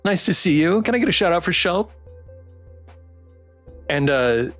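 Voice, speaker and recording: A game streamer chatting through a live playthrough in a playful, expressive way. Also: medium pitch, measured pace, faint music behind. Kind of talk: live visual novel playthrough, reading aloud and chatting.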